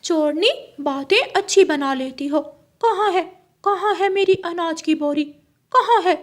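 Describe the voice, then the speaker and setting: Woman voicing a shrill, loud indignant male cartoon parrot. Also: measured pace. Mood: angry accusing. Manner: shrill, accusing, exclaiming. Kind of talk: Hindi cartoon story voice acting.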